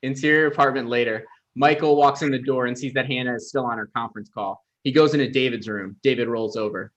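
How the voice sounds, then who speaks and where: A man's voice: mid-range voice, steady tone, fast talker, a bit bright, dull audio. A man giving scripted narration, reading out the stage directions for a table read.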